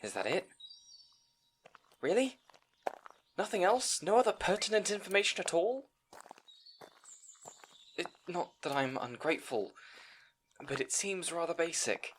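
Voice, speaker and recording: A man's voice: high-pitched, very animated, measured pace, long pauses, a little hiss or hum. A man playing a timid, bookish young scribe in an audio roleplay, speaking to the listener in an anxious, hesitant, stammering way. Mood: incredulous, nervous.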